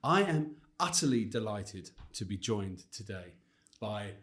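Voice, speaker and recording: A man's voice: on the low side, very animated, a little slow, fairly bright tone. A man hosting a podcast interview, enthusiastic and effusive, often hesitating and restarting his sentences. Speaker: podcast host. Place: studio in Johannesburg.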